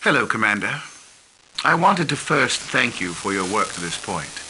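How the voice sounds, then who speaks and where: An actor playing a grave male spymaster who heads a private intelligence group and speaks in a measured, worried way. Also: on the low side, big pitch swings, sharp tone, a little hiss or hum, heavily acted, low-quality recording. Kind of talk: scripted game cinematic.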